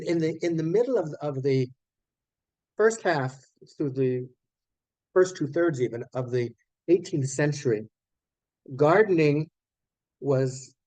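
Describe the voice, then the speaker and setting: Guy giving an online lecture, mid-pitched voice, stop-start explaining, repeats himself, very dry room. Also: darkish tone, dry recording, rough recording. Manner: halting, explanatory, with repeated phrases and self-corrections. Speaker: online course teacher of academic English writing. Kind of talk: informal online lecture to a class.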